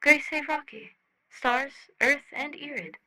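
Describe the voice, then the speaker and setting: A woman's voice: sits high, measured pace, bright, forceful tone, no echo, voice pushed up, heavily acted, rough recording. A woman voicing a blunt, loyal alien friend in an audiobook, speaking in clipped, broken grammar. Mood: insistent, curious. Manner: clipped broken grammar, tags questions with the word "question". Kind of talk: audiobook fan fiction character voice.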